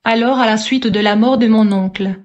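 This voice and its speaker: A woman narrator reading a scripted testimony aloud in a measured, formal way. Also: fairly high pitch, a little mellow. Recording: dull, lo-fi playback.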